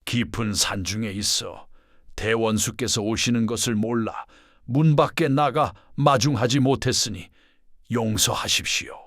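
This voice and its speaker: A man's voice: medium pitch, unhurried, a bit bright, dry recording, clean studio sound. A man voicing a humble old monk in a mountain temple, speaking politely and apologetically in a storybook reading. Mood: apologetic. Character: old long-browed male monk, humble.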